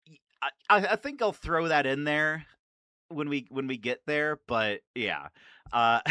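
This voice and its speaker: A man hosting a casual, rambling fan video in an excitable, self-deprecating way. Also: on the high side, unhurried, clean tone, no echo. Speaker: amateur voice-acting enthusiast, video host.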